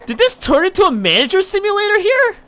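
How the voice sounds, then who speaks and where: A man's voice: very high voice, wildly swooping pitch, fast, bright, forceful tone. A man livestreaming, chatting and reading a visual novel aloud, often stumbling over and rereading his lines. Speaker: live streamer.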